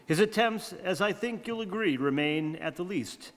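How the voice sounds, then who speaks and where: A man reads scripted radio drama narration. His voice sits high for a man and carries the long reverb of a hall. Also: animated, normal speed, a bit bright.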